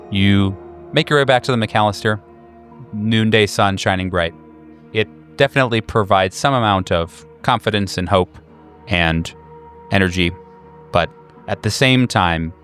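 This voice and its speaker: A man's voice: sits low, fairly bright tone. A man narrating a tabletop horror game as game master, setting scenes descriptively in the second person. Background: background music.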